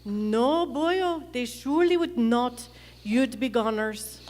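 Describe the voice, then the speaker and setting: A woman's voice: fairly high pitch, animated, darkish tone. A woman playing a feisty Irish frontier woman in a live Western radio play, lilting and theatrical, full of old sayings. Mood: dramatic, superstitious. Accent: stage Irish. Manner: lilting, theatrical, drawn-out delivery. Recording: echoey theatre hall.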